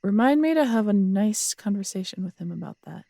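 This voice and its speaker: A woman's voice: medium pitch, lively intonation, a little fast, vocal fry, dark tone, no room sound, clean studio sound. A woman playing a feisty, teasing, proud police detective in an intimate roleplay audio drama. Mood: reassuring, wry. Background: crickets at night.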